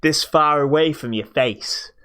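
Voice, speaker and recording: A man's voice: fairly high pitch, a little slow, bright, forceful tone, clean tone. A man giving his opinions in casual podcast chat about games, feeling his way through his phrasing. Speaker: podcast co-host.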